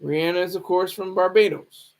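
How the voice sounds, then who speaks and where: A man giving casual, unscripted commentary as a streamer, rambling and halting, often repeating himself. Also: sits high, steady tone, a little mellow. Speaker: streamer commenting on hip hop news.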